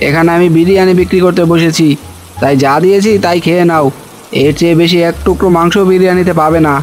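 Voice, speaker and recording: A man playing a greedy, arrogant village restaurant owner in an animated folk tale, haughty and threatening. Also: sits high, fast talker, faint music behind, lightly acted.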